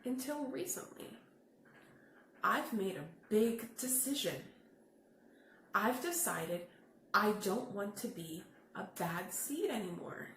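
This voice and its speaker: Woman acting out glum storybook bad seed, theatrical, earnest about being good, bright voice, echoey room. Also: medium pitch, slow, pauses often. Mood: hopeful, earnest. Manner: theatrical, expressive storybook delivery. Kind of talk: children's picture book read-aloud. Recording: echoey room with faint noise.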